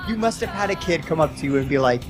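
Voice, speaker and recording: Young Canadian woman, very lively intonation, teasing, informal podcast host, music playing behind. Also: low-pitched, fast talker. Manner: slangy, excitable, teasing. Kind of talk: casual chat.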